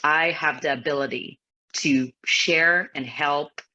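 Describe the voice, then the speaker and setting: A woman's voice: sits low, steady tone, takes it slowly, pushed and bright. A woman in her thirties from Ukraine, a native Ukrainian speaker, giving an earnest personal account as an interview guest over a video call. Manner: earnest, slightly non-native phrasing. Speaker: interview guest, federation executive. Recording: dull lo-fi video call audio.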